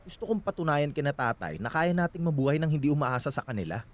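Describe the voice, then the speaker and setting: An actor playing a confident, protective newlywed husband in a radio drama, soothing yet insistent. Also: male voice, fairly high pitch, very animated, fast talker. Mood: dismissive reassurance.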